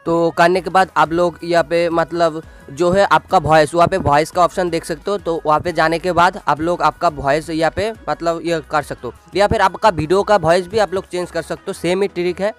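Casting: young male tutorial host, fairly high voice, casual and halting, music underneath. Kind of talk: casual screen-recorded how-to narration.

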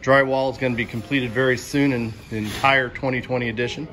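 A man's voice: medium pitch, fairly even intonation, fast, a crowd in the background. A man giving an unscripted, matter-of-fact walking tour as a school superintendent, explaining things as he goes, recorded on a handheld phone indoors. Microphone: handheld phone held by the speaker while filming. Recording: loud construction site noise. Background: loud building-site noise.

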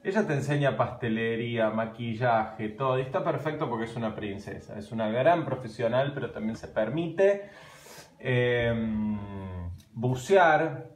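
A man's voice: mid-range voice, measured pace. A man in his thirties with an Argentine accent, chatting casually and animatedly on a live stream from his home kitchen, filmed on a phone held at arm's length. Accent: Argentine Spanish. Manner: chatty, animated, gesturing while talking. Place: home kitchen at night, indoors. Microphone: phone camera at arm's length. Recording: roomy, echoey room.

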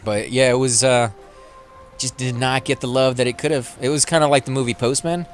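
A chatty man with a Texan accent talks his way through an online game, rambling between moves and quick to laugh. His voice sits at an average pitch. Soft game music plays underneath. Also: fairly even intonation. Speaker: let's play host. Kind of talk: casual gameplay commentary.

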